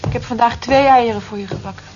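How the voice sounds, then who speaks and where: A woman's voice: a little high, quick pace, over music, natural voice. An actress playing a lovelorn, weary cleaning woman in a radio drama, bitterly resigned, with clipped, dejected replies. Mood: subdued. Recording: muffled, noisy old radio play recording.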